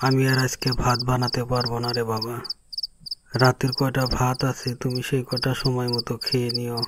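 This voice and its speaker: A man's voice: mid-range voice, completely flat delivery, normal speed, heavy background noise, lightly acted. A man playing a pious, patient father who instructs his young son in an Islamic moral cartoon. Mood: weak, sick. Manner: instructive, admonishing.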